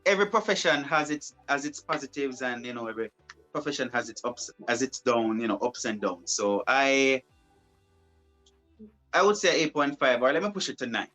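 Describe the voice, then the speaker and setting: A man's voice: mid-range voice, moderate pace, bright, forceful tone, faint music behind. A young man, a broadcast journalist, answering interview questions casually and often repeating and restarting his phrases. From Jamaica.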